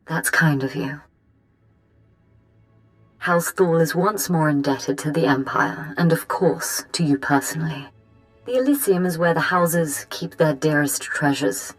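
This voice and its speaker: Low-voiced, deferential noblewoman. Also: unhurried, soft background music, poor audio. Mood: grateful, gracious. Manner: formal, deferential. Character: polite, worried noblewoman pleading for help. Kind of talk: dramatic video game acting.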